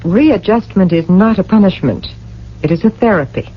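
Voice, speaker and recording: A woman in a radio drama playing a coolly authoritative tribunal official, formal and measured. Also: mid-range voice, husky, natural voice. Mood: cool, stern. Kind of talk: 1980 radio drama acting. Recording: dull old radio recording, faint hum.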